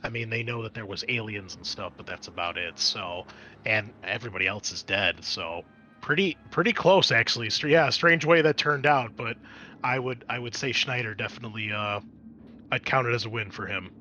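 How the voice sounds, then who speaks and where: A man's voice: on the low side, moderate pace, pushed and bright, a little hiss or hum. A man narrating a tabletop roleplaying game as game master, moving between dramatic scene-setting and casual asides.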